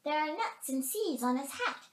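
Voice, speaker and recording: A woman's voice: high voice, animated, normal speed, pitched above the performer's own voice. A woman voicing an eager, friendly little chickadee in a children's storybook, with sing-song, repeated syllables. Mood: excited, friendly. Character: excitable tiny chickadee, brave, eager and friendly. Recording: roomy, echoing space.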